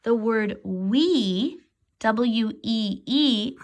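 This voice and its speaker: A woman reading a children's storybook aloud expressively, pausing to explain words and pictures to young listeners. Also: on the high side.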